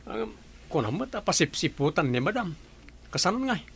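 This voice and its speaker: High creaky-voiced man as a respectful employee, acted out. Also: very animated, brisk, slight background noise. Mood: respectful, advising. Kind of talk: dramatised story dialogue.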